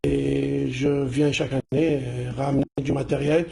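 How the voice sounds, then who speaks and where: A man from Algeria, president of a charity, talking as a guest in a TV studio. He is congested from the flu, and he speaks haltingly with many restarts and fillers. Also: mid-range voice, level tone, vocal fry, mellow. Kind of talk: casual chat.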